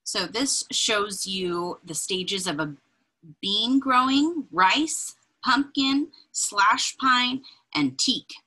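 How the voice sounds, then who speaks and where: A woman reading a children's picture book aloud in an expressive, deliberate, breathy way, adding informal asides as she points things out. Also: medium pitch, rough recording. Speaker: read-aloud reader. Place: indoors, small room with bookshelves.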